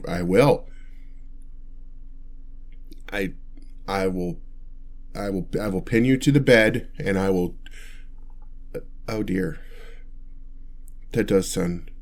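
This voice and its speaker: A man's voice: low-pitched, takes it slowly, pauses often, darkish tone. A man voicing an awkward, kindly, inept vampire in an ASMR roleplay, stammering and hesitating as he talks to the listener. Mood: flustered bluster, then flustered, defensive. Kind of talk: ASMR roleplay, one-sided dialogue to listener.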